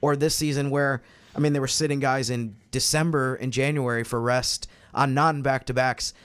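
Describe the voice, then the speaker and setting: A man on a sports podcast panel, explaining his views in a measured, casual analytical way. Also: medium pitch, fairly even intonation, clean tone.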